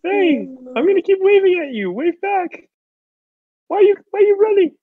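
A man improvising a comedic fan dub in an excitable, joking manner. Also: very high-pitched, moderate pace, dry recording, phone-quality sound. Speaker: amateur fandub performer. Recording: music behind the voice.

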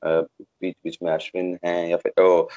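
A man's voice: sits low, monotone, a little slow, mellow. A young man hosting a cricket discussion in a conversational way, hesitating and searching for words, over a video call. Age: young adult. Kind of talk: conversational sports commentary. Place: indoors, small room, video call. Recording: dull lo-fi video call audio.

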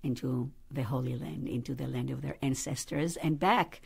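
A woman rabbi recounting her family's history in an interview, pausing often to search for words. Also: a bass voice, vocal fry, slightly rough. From Israel. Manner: thoughtful, searching for words with fillers. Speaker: rabbi, guest. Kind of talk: interview, personal storytelling. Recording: faint background noise.

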